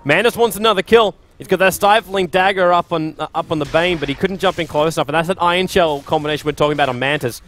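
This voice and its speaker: Fast, excitable young esports caster. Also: male voice, fairly high pitch. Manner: rapid, excitable play-by-play. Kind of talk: esports play-by-play commentary.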